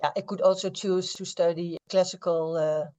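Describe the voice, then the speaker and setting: A woman in her fifties from the Netherlands with a Dutch accent, a literary translator answering interview questions thoughtfully, with frequent pauses and fillers. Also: fairly low pitch, fairly even intonation, warm tone.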